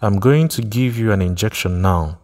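A man voicing a kind male doctor who speaks calmly and reassuringly. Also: on the low side, moderate pace, long reverb tail, natural voice. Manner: sympathetic, reassuring.

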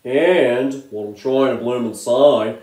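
A man's voice: medium pitch, takes it slowly, mellow, close to an everyday voice. A man voicing a blokey Australian man who is full of exaggerated slang and adds "mate" to nearly every line. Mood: exasperated. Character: blokey Aussie man, Bazza, always saying mate. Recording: echoey room, voice off-mic.

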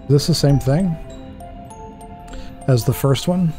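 A man's voice: on the high side, slow, warm tone, faint music behind. A man in his thirties giving casual let's play commentary, thinking aloud in a jittery, self-deprecating way. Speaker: gaming video host.

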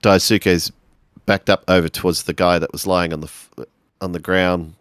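A man improvising narration for his character in a tabletop role-playing game, halting as he searches for words. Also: low-pitched, little pitch movement, fairly bright tone, smooth, no room sound, studio quality.

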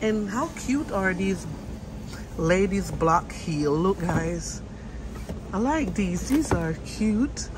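A woman's voice: medium pitch, expressive, takes it slowly. A woman vlogging while she shops in a grocery store, reading product labels aloud in short casual bits. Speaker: shopping vlogger. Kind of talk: casual shop-with-me narration. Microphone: phone held while filming. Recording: loud store background noise.

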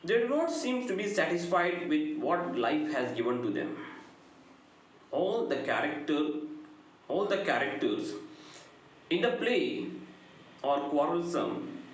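A middle-aged man with a South Indian accent gives a prepared literature lecture in deliberate, measured short phrases. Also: a little high, sing-song. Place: indoor room, very reverberant. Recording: very echoey room, noticeable background noise.

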